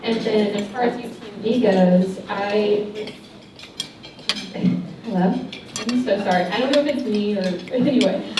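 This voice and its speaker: A woman's voice: mid-range voice, a little slow, pauses often, noisy background. Student panelist, woman in her twenties, from Texas, chatty; distant mic in a reverberant hall, clinking cutlery behind. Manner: chatty, apologetic about a cutting mic, laughs often. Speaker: physical therapy student panelist. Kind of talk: panel answers at a luncheon. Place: large banquet hall. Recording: echoey banquet hall, distant mic, dish clatter. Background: cutlery and dishes clinking.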